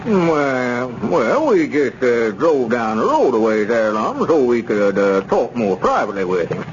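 An actor playing an evasive small-town squire in a 1930s radio serial, blustering and protesting innocence in folksy phrases. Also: male voice, mid-range voice, very animated, measured pace. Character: evasive small-town squire peddling dubious mining stock. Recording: muffled, noisy 1930s radio recording.

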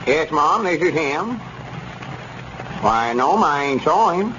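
An actor playing a flustered, folksy older country storekeeper with a rural Southern US accent and a hesitant, stammering delivery in a 1930s radio comedy serial. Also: male voice, a little high, big pitch swings, slow pace. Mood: flustered, puzzled. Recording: noisy muffled 1930s radio broadcast.

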